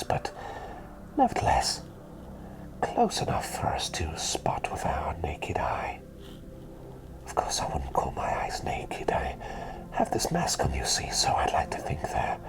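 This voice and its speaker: Whispering gentle plague doctor, played by a man. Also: a bass voice, heavily acted, low-quality recording. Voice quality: soft breathy whisper. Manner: soothing, rambling, politely genteel. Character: gentle doting plague doctor, masked, soothing and whimsical. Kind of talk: ASMR roleplay, personal attention.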